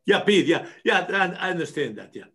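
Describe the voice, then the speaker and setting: A man's voice: high-pitched, big pitch swings, a little fast, a bit bright. A man at a training meeting asking a question hesitantly, often restarting his sentences. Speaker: meeting attendee.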